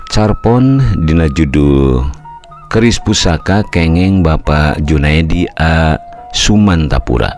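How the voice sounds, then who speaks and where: An older man, a storyteller, narrating a folktale in a calm, unhurried and formal way. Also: rumbling low. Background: faint music.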